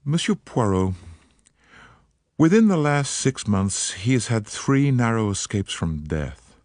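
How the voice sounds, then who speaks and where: A man voicing a determined American woman of about thirty with an American accent, earnest and quick. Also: medium pitch, very animated, darkish tone, no echo, clean studio sound. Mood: worried.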